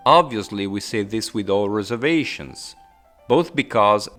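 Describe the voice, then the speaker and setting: A man with an Italian accent, a native Italian speaker, giving a scripted linguistics lesson with clear explanations and playful asides. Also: lowish voice, animated, measured pace, a bit bright, faint music behind. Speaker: linguistics teacher. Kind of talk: scripted educational narration.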